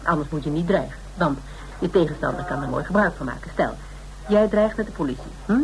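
A shrewd female detective in a radio drama. Her voice sits fairly low, and she talks in a businesslike, lecturing, self-assured way that trusts nobody. The recording has some faint noise. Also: expressive, fast. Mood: businesslike, wary. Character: businesslike, shrewd, distrustful female private investigator. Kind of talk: Dutch radio play acting. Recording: noisy radio play recording.

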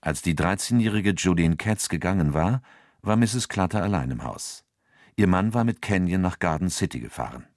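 Deep voiced guy narrating a crime novel, measured and sober. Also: animated, a little mellow, no echo, studio quality. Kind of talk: scripted literary narration.

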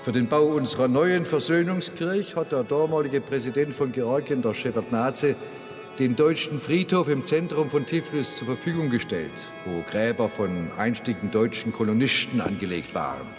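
A man's voice: medium pitch, animated, moderate pace, natural voice. Guy playing chatty old church elder, pious host type, voice swings up and down, music behind. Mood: explaining, proud. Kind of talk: radio drama dialogue.

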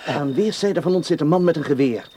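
An actor playing a tense, hard-edged secret agent in a radio drama, talking tersely and urgently. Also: male voice, a little high, lively intonation, fast talker, natural voice. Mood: urgent, insistent. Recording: faint background noise.

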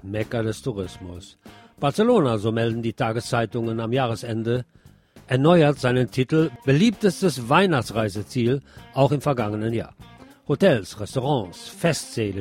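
A man presenting scripted radio news commentary, reading deliberately and emphatically with wry asides. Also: medium pitch, animated, a little mellow, faint music behind.

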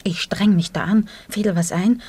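A woman's voice: mid-range voice, steady tone, normal speed, a little mellow, breathy edge, close to an everyday voice. An actress playing a proud, indignant young woman loyal to her political cause in a radio drama, defensive and reproachful.

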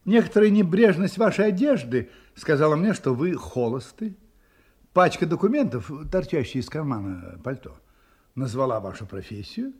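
An actor playing a shrewd, self-assured gentleman detective, courteous and wry, in an audio drama. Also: fairly high pitch, big pitch swings, moderate pace, husky. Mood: assured, genial. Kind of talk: Russian audio drama acting.

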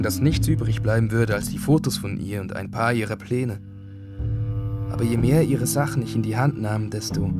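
A man's voice: medium pitch, close to an everyday voice. A man playing a bereaved brother in a radio drama monologue, plain-spoken, reflective and colloquial. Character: bereaved brother quietly recalling his sister's belongings. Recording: radio drama with prominent guitar music. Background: plucked guitar music.

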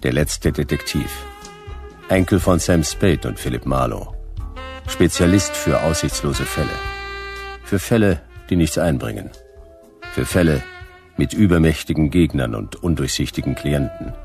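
An actor playing a weary, wisecracking private detective in a radio play, laconic and sardonic in a noir style. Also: male voice, extremely low pitch, lively intonation, a little slow, vocal fry, long pauses, a little mellow, faint music behind, exaggerated voice. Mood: deadpan.

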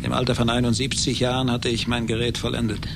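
Actor as vengeful obsessed genius, noisy recording. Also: male voice, medium pitch, completely flat delivery, gravelly, lightly acted. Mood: hushed, hateful. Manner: halting, theatrical, repeats words for emphasis. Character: vengeful, obsessed male genius inventor, embittered and gloating. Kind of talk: radio play acting.

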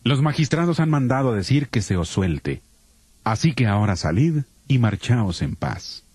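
Casting: respectful Roman jailer, earnest, raspy, expressive pitch, audio Bible drama. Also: male voice, moderate pace, dry recording. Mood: relieved.

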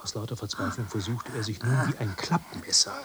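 An actor playing a detached, precise narrator in a literary radio drama, reporting in exact, matter-of-fact phrases. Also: male voice, lowish voice, fairly quick, a crowd in the background, close to an everyday voice. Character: precise, detached male narrator observing an absurd struggle.

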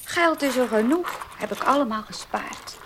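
A woman's voice: on the high side, animated, normal speed, background noise. An actress playing a devoted, anxious old female servant in a Dutch radio play, motherly and urging. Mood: doting, urgent.

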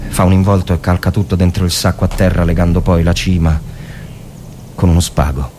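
A man with a fairly low voice narrates literary fiction. His delivery is that of a dramatic reader. Clear ambient noise runs behind the voice. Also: fairly even intonation, a little fast. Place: radio reading with ambience behind.

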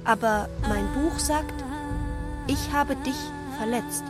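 A naive female AI speaks in a high voice, earnest and inquisitive. She takes things literally and repeats herself. It is radio drama, with loud music underneath. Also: slow.

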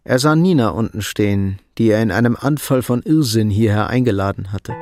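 A man narrating a scripted literary radio drama in a sober, measured way. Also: lowish voice, expressive, darkish tone.

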